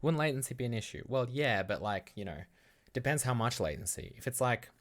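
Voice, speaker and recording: A man's voice: medium pitch, lively intonation, fairly quick. An Australian man chatting casually as a livestream host, rambling loosely and thinking aloud. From Melbourne, Australia.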